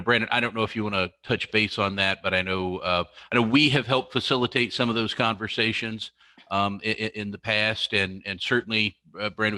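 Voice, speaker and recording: A man hosting an online meeting over a video call, halting, with many restarts. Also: deep voice, bright, forceful tone, no echo. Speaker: meeting host.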